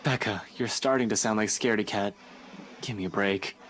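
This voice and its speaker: A man's voice: lowish voice, fairly quick, a bit bright, a little hiss or hum, close to an everyday voice. Man acting a skeptical, irritated young guy. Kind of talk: visual novel game voice acting.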